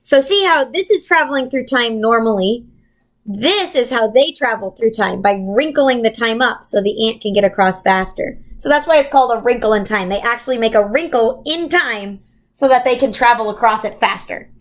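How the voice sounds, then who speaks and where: A woman reading a children's novel aloud and pausing to explain it simply to young listeners. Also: on the high side, lively intonation. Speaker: volunteer reader for a children's club.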